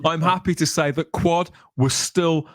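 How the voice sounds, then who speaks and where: A man's voice: a little high, steady tone, a little slow, fairly bright tone, no echo, clean studio sound. A man presenting a podcast in polished, playful, wordy patter. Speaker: podcast host.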